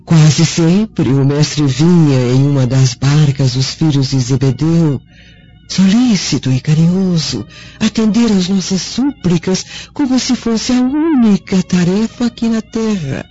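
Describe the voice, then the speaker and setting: An actress playing a gentle, devout servant woman in a radio drama, husky and solemnly consoling. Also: low voice, expressive, a little slow. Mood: wistful, reverent. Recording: dull lo-fi radio drama recording, music.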